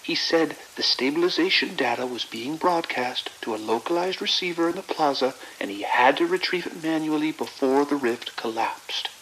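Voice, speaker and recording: A man's voice: on the high side, moderate pace, hardly any pauses, a bit bright, natural voice, low-quality recording. An actor playing a cold, ruthless male project administrator in an audio drama, giving clipped, detached orders. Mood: urgent, insistent. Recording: faint hiss and frying static.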